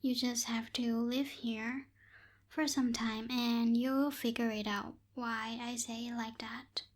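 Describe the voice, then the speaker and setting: A woman playing a proud, bossy but helpful young night witch in an ASMR roleplay, speaking hesitantly with soft asides, close to the microphone. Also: a little high, steady tone, slow. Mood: bossy, instructing. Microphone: close binaural microphone.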